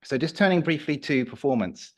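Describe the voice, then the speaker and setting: A man with a slightly croaky voice giving a formal report to a council committee, measured and a little hesitant. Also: on the high side, expressive. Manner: measured, with some fillers and restarts. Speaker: council officer presenting a report. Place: council meeting room.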